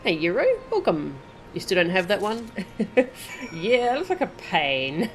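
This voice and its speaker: A woman's voice: medium pitch, very animated, measured pace, faint music behind. A woman giving casual live commentary as she streams a video game.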